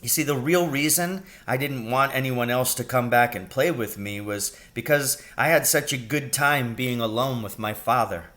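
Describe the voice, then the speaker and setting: A man reading a children's story aloud as a teacher would, with expressive narration. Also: mid-range voice, moderate pace, hardly any pauses.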